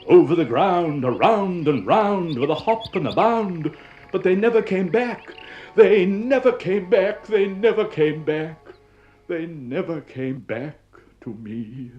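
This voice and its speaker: Guy reciting kids nonsense poetry, really swoopy expressive voice, music behind, old vintage record sound. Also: a little high, highs cut off. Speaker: poetry reader. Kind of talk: expressive theatrical recitation of children's nonsense verse.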